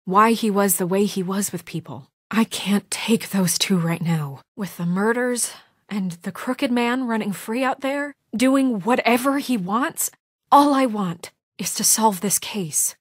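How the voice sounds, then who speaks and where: A woman voicing a poised, stern young woman in authority in a video game drama, speaking in an earnest, measured, formal way. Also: mid-range voice, no room sound, studio quality, lightly acted. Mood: weary. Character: poised, stern young woman leading a troubled town government.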